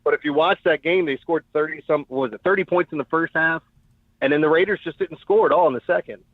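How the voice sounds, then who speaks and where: A man's voice: on the high side, quick pace, no room sound. A man chatting casually and bluntly as a sports betting guest, heard over a tinny phone-style connection. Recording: tinny phone-like call audio.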